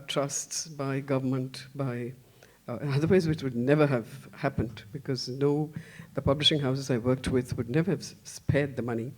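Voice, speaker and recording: Older woman, festival panelist; wandering, self-mocking delivery. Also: low-pitched. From India. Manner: rambling and self-deprecating, with frequent restarts and soft laughs. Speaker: panelist, translation editor. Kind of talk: panel discussion.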